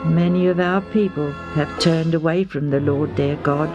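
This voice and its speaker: A woman's voice: deep voice, fairly even intonation, normal speed, dull audio, close to an everyday voice. A woman voicing a weary, devout middle-aged father who speaks solemnly and earnestly, over faint music. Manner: solemn, earnest, repeats "and no mistake".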